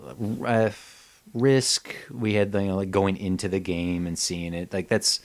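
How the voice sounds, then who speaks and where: A man co-hosting a comedy podcast, improvising pitches in casual, jokey banter and slipping into exaggerated bits. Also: steady tone, measured pace. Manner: jokey, slips into mock voices and exaggerated bits. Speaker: podcast co-host.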